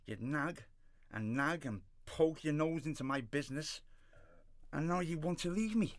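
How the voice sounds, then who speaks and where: An actor playing an angry, menacing, abusive fiancé in a radio drama, threatening, accusing and full of contempt. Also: male voice, a little high, a little slow, no echo. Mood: cold, accusing.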